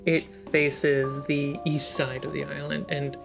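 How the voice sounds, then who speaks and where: A game master calmly describing scenes and actions in a tabletop game. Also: mid-range voice, creaky, music in the background. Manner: unhurried, descriptive narration.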